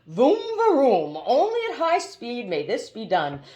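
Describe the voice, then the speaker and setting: An emphatic, talkative mechanical bird from a storybook, acted out by a woman. The delivery swings widely in pitch, brisk and lecturing, with a stutter on repeated words. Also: huge pitch swings, dark tone, muffled recording, full character voice. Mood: proud, emphatic. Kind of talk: storybook character voice.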